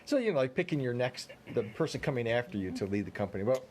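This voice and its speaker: A man's voice: medium pitch, big pitch swings, quick pace, darkish tone. An American man co-hosting a talk show in casual, teasing banter. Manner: joking, teasing. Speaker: show co-host.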